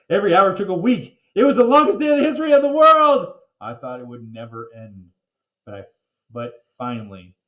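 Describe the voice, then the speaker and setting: A man reading a children's story aloud in a playful, expressive way with theatrical emphasis. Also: medium pitch. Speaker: reader of children's book. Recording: roomy, echoing room.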